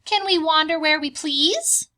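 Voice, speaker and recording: A woman's voice: sits high, unhurried, pushed and bright, voice pushed up. A woman voicing curious, timid young polar bear cubs with wide-eyed, eager questioning for a storybook. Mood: anxious curiosity.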